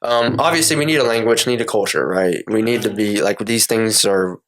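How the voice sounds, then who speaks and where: A man's voice: lowish voice, fairly quick, a bit bright. A man in a casual chat, telling personal stories in an informal, sweary way and acting out quoted dialogue. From United States, Cherokee reservation. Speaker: podcast speaker telling personal experiences.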